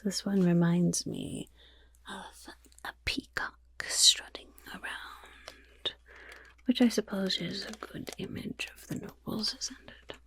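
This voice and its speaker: A woman voicing a friendly female goblin rogue in an ASMR fantasy roleplay, with a breathy, raspy whisper and gently encouraging, genteel phrasing. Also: mid-range voice, no room sound, exaggerated voice. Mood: admiring, musing.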